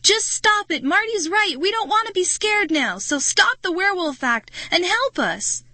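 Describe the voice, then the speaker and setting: A woman's voice: a little high, animated, moderate pace, dry recording. A woman voicing a frightened young boy who pleads and screams in desperation. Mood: furious.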